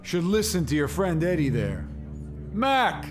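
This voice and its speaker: A man's voice: sits high, sing-song, a little slow, faint music behind. An actor playing a brusque, unbothered man in a noir audio drama.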